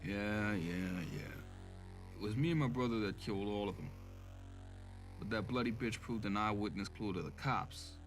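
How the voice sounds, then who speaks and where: Man acting a low-voiced, brooding police inspector. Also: normal speed, faint music behind, natural voice. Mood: bitter, defiant. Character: brooding male police inspector, secretly a killer. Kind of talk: amateur audio drama acting.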